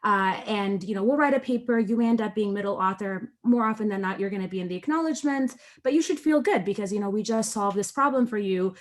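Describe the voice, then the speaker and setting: A woman's voice: mid-range voice, fast, hardly any pauses. A woman from Ethiopia, a researcher speaking as a panelist in an online discussion over a video call, earnest and conversational, often checking in with "right?".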